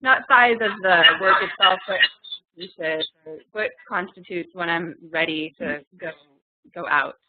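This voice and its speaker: A woman artist calling in with a question, speaking hesitantly with many pauses and fillers. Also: on the low side. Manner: hesitant, halting with ums. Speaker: caller asking a question. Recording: tinny video call line.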